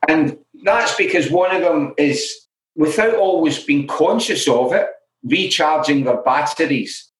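A man's voice: fairly high pitch, measured pace, slight background noise. A middle-aged man from Glasgow with a Glaswegian Scottish accent, speaking as an interview guest and telling his own stories frankly, with many "you know" asides. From Scotland. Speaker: interview guest in alcohol recovery. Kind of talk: candid conversational storytelling. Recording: roomy echoey room.

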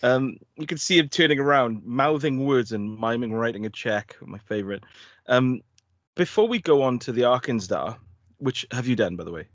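A man's voice: medium pitch, moderate pace, fairly bright tone, dry recording, dull audio. A man co-hosting a podcast, chatting casually in a jokey, rambling way with plenty of laughter. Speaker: podcast co-host. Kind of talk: casual chat.

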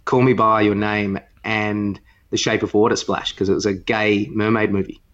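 A man's voice: fairly low pitch, little pitch movement, normal speed, fairly bright tone, highs cut off. A man co-hosting a podcast with casual banter in an offhand, joking way. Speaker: podcast co-host.

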